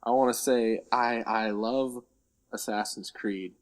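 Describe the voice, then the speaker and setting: A man's voice: medium pitch, steady tone, slow. A man co-hosting a casual podcast, jokey and given to repeating words for emphasis. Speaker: podcast co-host. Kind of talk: casual banter about games and films.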